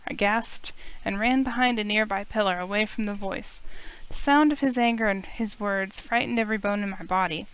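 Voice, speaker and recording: A woman's voice: medium pitch, normal speed, fairly bright tone, smooth, poor audio. A woman streamer reading a visual novel aloud as dramatic first-person narration. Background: faint music.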